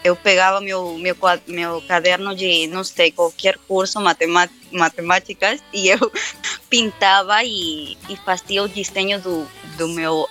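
A young woman guest, speaking Portuguese slowly and carefully. Her voice is bright and clear, and she stops often to restart words. Faint music plays behind her. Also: on the low side, steady tone. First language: Spanish. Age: young adult. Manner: hesitant, halting, often restarting words and laughing. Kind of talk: live interview.

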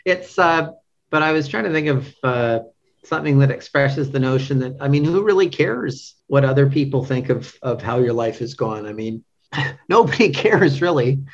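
Male podcast guest, middle-aged, medium pitch, casual and searching delivery with frequent repetitions, slightly dull low-fidelity sound. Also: animated. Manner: halting, thinking aloud, repeats words. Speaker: podcast guest, literary translator. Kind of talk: casual interview chat. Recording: dull, lo-fi audio.

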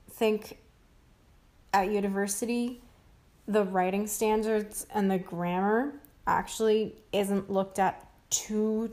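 A woman's voice: mid-range voice, darkish tone. Chatty young woman thinking aloud. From Japan and New York, grew up between two languages. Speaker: podcast co-host. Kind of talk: casual chat.

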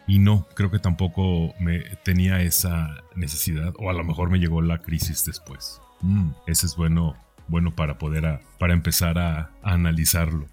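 A man's voice: low voice, darkish tone. A man in his forties hosting a podcast, talking through his own life in a conversational, introspective monologue, often checking his thoughts with a questioning tag. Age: 40s. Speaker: podcast host. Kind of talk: reflective personal podcast monologue.

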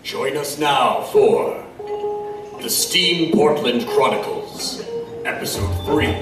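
A man's voice: mid-range voice, animated, takes it slowly. A man announcing a drama series with a dramatic scripted delivery. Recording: very echoey, distant, loud music behind.

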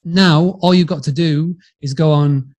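A man's voice: a little high, fairly even intonation, measured pace, darkish tone. Chatty Yorkshire man telling his story. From England, Yorkshire. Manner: chatty, friendly, frequent restarts and repeated words. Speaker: podcast guest. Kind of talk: casual storytelling interview.

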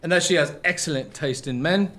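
A man's voice: on the high side, brisk, bright, forceful tone. A man playing a gallant, confident young lover, earnest and self-assured, in a gothic comedy audio drama. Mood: smug.

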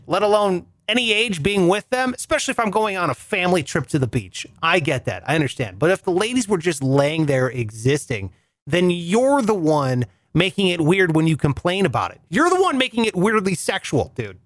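A young man with a reedy, nasal voice, telling comic stories as a show host in an animated, mock-outraged way. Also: a little high, no echo, studio quality. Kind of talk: comic monologue.

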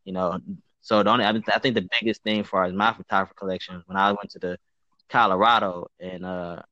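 A man's voice: steady tone, a little fast, bright, forceful tone, dry recording. A man in his twenties from the United States chatting casually, rambling with lots of fillers. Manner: loose, rambling, many fillers like "like" and "you know". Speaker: podcast co-host. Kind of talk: casual chat. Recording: muffled low-fidelity audio.

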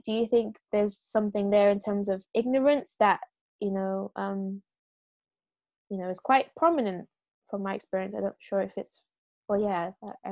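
A woman hosting a casual discussion, thinking aloud with many fillers and pauses. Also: medium pitch, dry recording. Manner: hesitant, searching, many fillers. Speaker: podcast host.

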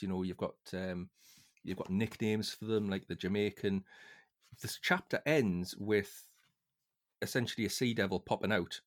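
A man with a fairly low voice talks as a guest on a podcast. He chats casually about books, explaining things in an informal, loose way. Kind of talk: casual book discussion.

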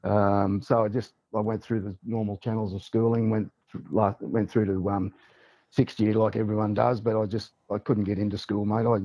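A man's voice: lowish voice, normal speed, no echo, rough recording. A man with an Australian accent, a former rugby league player, chatting casually as a podcast guest and saying "mate" a lot. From Newcastle, Australia. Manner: relaxed, chatty, says mate often. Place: on the line for a podcast.

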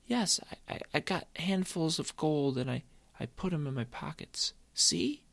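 A man's voice: a little high, animated, unhurried, vocal fry, a bit bright, no room sound, pitched above the performer's own voice, heavily acted. An eager little boy of six, as a man puts him on, tripping over his words. The voice jumps well above the storyteller's own. It is a dry, close recording with no room sound. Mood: excited. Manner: eager, stammering. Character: excited six-year-old boy chasing gold.

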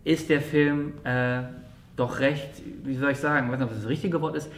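A man's voice: mid-range voice, fairly even intonation, moderate pace, slight background noise. A man talking casually about films, hesitant and often rephrasing himself as he goes. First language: German. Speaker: podcast co-host, English teacher. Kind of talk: casual film discussion. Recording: echoey room sound.

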